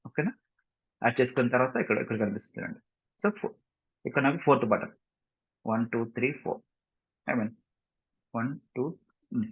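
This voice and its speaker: A man with an Indian accent giving an informal step-by-step software tutorial as instructor, mixing in English phrases and often checking understanding with short questions. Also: medium pitch, husky. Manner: mixes English phrases into his explanations. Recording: dull, muffled lo-fi microphone.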